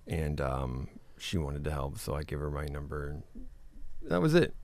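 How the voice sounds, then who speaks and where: A man from Indiana, a podcast guest, telling his story in a relaxed way. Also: very deep voice, normal speed. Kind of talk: casual storytelling.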